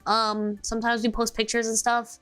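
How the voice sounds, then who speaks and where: A woman's voice: on the high side, monotone, moderate pace, fairly bright tone, smooth, faint music behind. A young American woman streaming, chatting casually and sleepily, rambling with many fillers and restarts. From United States.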